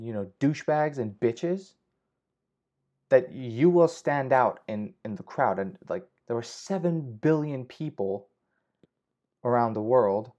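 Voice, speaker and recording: A man's voice: medium pitch, darkish tone. A young man hosting a casual solo podcast, rambling with frequent swearing and restarts. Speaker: podcast host. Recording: slightly dull lo-fi audio.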